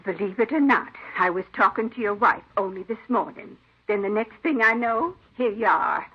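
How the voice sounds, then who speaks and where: An actress playing a folksy, forgetful, kindly elderly aunt who rambles in an old radio drama. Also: female voice, mid-range voice, normal speed, slightly rough, lightly acted. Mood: flustered, chattering. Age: older. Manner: rambling, folksy rural phrasing. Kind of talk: 1950s radio drama acting. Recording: dull old radio recording.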